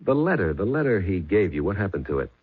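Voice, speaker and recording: An actor playing a persistent police sergeant with a clipped, probing way of questioning, in a 1970s radio drama. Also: male voice, low-pitched, very animated, quick pace, husky, exaggerated voice. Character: persistent middle-aged male police sergeant. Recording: tinny old radio broadcast.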